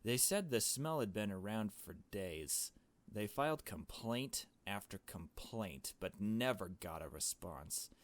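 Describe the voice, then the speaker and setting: A man's voice: on the low side, animated, takes it slowly. A man reading a visual novel aloud as narration, as a player commenting on the story along the way.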